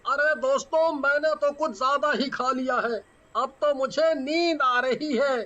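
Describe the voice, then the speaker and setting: A man voicing an excitable young traveller in a cartoon story, with exclamatory delivery. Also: extremely high pitch, unhurried, dull audio, exaggerated voice. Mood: hungry, drowsy. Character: excitable young male friends on a night journey.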